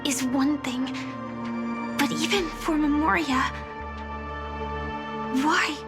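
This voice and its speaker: An actress playing a solemn, wistful young female soldier in a video game, with a soft, halting delivery. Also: sits high, over loud music.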